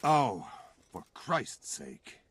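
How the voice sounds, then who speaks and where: A man's voice: mid-range voice, big pitch swings, very slow, a bit bright, breathy edge, no echo, exaggerated voice. A man playing a dutiful but grumbling castle retainer in a dramatic medieval game cutscene. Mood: exasperated.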